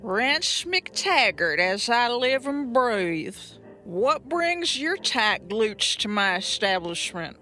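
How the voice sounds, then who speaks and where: A woman's voice: on the high side, very animated, slow, pushed and bright, faint music behind, full character voice. An actress playing a sassy, bawdy brothel madam with a flirtatious way of drawing out her innuendo in a comedic audio drama. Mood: flirty, sly.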